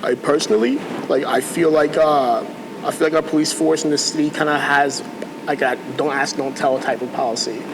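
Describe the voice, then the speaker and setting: A man's voice: on the high side, moderate pace. Young male panelist, hesitant filler-heavy delivery, noisy background. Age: young adult. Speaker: first-time protester. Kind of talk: panel discussion.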